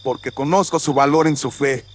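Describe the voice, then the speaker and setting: A man's voice: a little high, steady tone, voice pushed up, close to an everyday voice. Man playing a courtly, authoritative colonial viceroy. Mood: grave, courteous. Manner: formal, measured, courtly. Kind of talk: audio drama acting. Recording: faint noise with crickets and insects.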